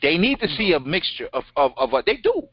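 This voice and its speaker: Deep-voiced man, blunt casual talk, swears, middle-aged, muffled recording. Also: brisk, slightly rough. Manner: blunt, animated, swears freely. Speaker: co-host. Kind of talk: casual interview chat. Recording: dull lo-fi sound.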